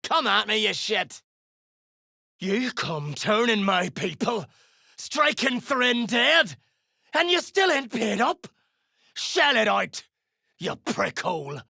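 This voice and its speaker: Gravelly, menacing dwarf gang boss. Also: female voice, mid-range voice, big pitch swings, takes it slowly, long pauses, sharp tone, no room sound, studio quality, heavily acted. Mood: angry, threatening. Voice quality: gravelly, growling. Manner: gruff, crude, slangy, threatening. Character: foul-mouthed, menacing duergar mercenary gang boss, greedy for coin. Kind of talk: video game character dialogue.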